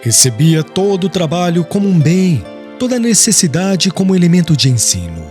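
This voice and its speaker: A man reading an audiobook in measured, solemn scripted narration. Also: high voice, sing-song, darkish tone, soft background music.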